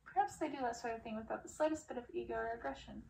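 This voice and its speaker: A woman's voice: on the high side, very fast, darkish tone. A woman reading a fairy-tale novel aloud in audiobook style. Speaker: reader of a story. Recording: distant mic with clear background noise.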